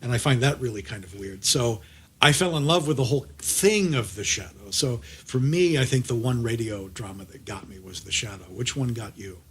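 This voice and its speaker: A man's voice: medium pitch, expressive, moderate pace, fairly bright tone, slightly rough. A middle-aged American man hosting a conversational interview, chatty and rambling as he circles back mid-sentence. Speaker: podcast host and audio drama producer.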